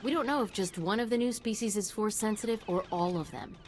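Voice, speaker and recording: A woman's voice: medium pitch, a little fast, a little mellow, slight background noise, lightly acted. A woman playing an eager, nerdy scientist in a video game, precise and absorbed. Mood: eager, intent. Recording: game music underneath.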